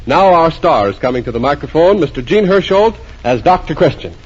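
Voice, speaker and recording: A man's voice: a little high, expressive, a little fast, heavy background noise. A man announcing in a formal, emphatic, scripted 1940s radio style. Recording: muffled old radio broadcast.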